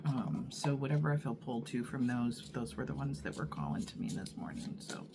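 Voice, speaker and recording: A woman reading tarot in an unhurried, musing way. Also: a bass voice, sing-song, background noise. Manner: unhurried, musing, many fillers and pauses. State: husky morning voice from allergies. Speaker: tarot reader. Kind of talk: casual tarot reading to camera.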